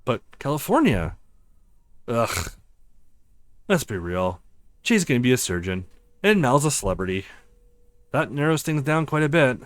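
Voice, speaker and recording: A man voicing playful, bantering young friends on a ski trip in a visual novel, with an excitable, teasing manner. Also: medium pitch, unhurried, pauses often, no room sound.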